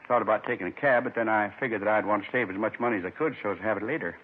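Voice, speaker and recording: A muffled 1950s radio drama recording carries a lonely old man in his seventies. His voice is husky, his manner gentle and folksy, and he rambles wistfully in short, plain phrases as he confesses. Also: lowish voice, rapid-fire. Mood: earnest. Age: 70s.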